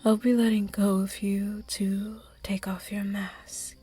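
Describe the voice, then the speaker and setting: A woman voicing a seductive, predatory vampire in a whispered audio roleplay, teasing and hushed. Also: medium pitch, level tone, soft background music. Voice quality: breathy whisper. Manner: slow, teasing, hushed and intimate. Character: seductive predatory vampire, flirty noble demon.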